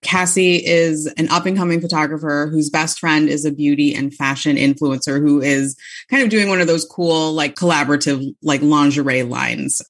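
A woman's voice: sits low, normal speed. A woman from the Chicago area, an author talking about her book in a casual interview, chatty, laughing often and restarting her sentences. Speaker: author guest.

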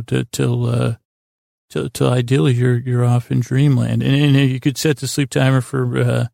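A man with a heavily creaky, gravelly voice hosts a bedtime storytelling podcast. He meanders and halts as he goes, gently silly and self-deprecating. The recording is dry and close, made in a small closet. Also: mid-range voice, no room sound. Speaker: podcast host.

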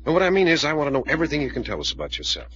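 Brusque, commanding adventurer hero: fast, bright delivery, 1940s drama style, muffled old broadcast sound. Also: male voice, a little high, wildly swooping pitch, slight background noise. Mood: businesslike. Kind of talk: 1940s radio drama acting. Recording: dull vintage 1940s radio recording.

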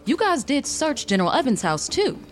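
Indignant, stressed wife in a fiction podcast, acted by a woman: defiant, sarcastic, pitched up. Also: sing-song, fairly quick, faint music behind. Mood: angry, indignant. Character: indignant, stressed married woman writer under investigation. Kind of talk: dramatized audio fiction.

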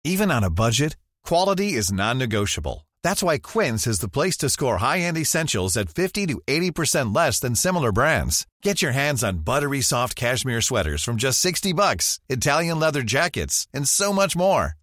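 A man's voice: mid-range voice, very animated, normal speed, few pauses, a bit bright, no room sound, studio quality. A man reading a scripted advertisement. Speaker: advertisement announcer.

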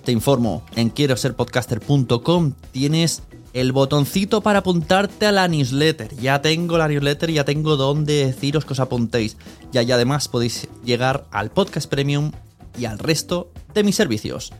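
A man's voice: mid-range voice, normal speed, faint music behind. A man with an accent from Spain talks casually and directly to the listener as a podcast host. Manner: chatty, direct address to listener.

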